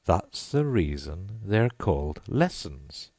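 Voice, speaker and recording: A man voicing a gruff, blunt male griffin in a storybook reading who scolds. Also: low voice, sing-song, takes it slowly, darkish tone, dry recording, heavily acted.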